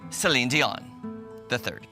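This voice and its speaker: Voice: mid-range voice, expressive, slow. A TV show host in playful banter, reading a silly fairy tale aloud with emphasis, music playing behind.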